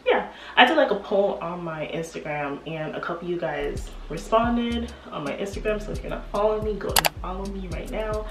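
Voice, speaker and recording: A young woman with a congested voice from a cold, chatting casually as a beauty vlogger getting ready at home, with lots of fillers and laughs. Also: fairly low pitch. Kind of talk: casual get-ready-with-me chat. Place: home apartment room. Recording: echoey room, mic at a distance, music behind.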